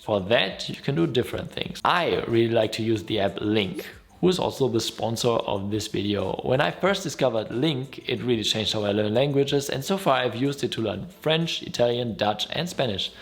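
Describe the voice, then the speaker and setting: A man with a German accent talks straight to his viewers. His voice sits in an ordinary middle pitch, and his delivery is friendly, upbeat and easygoing, like a casual explainer vlog. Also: animated, moderate pace, hardly any pauses. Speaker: YouTube host.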